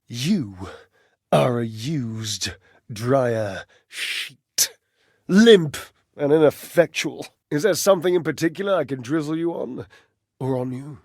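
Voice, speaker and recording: A man's voice: a little high, no room sound, clean studio sound. An actor playing a vain, catty, theatrical male living clothes dryer in a dating game, flirtatious, haughty and arch. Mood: catty, scornful, then wicked, teasing. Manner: theatrical, pompous, arch, with mocking chuckles. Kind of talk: dating-game character voice acting.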